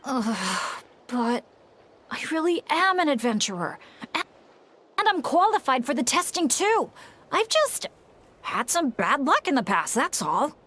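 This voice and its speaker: A woman's voice: sits high, sing-song, measured pace, fairly bright tone, a little hiss or hum, heavily acted. A woman voicing an earnest, clumsy young male adventurer who keeps pleading to be allowed to help, in a video game.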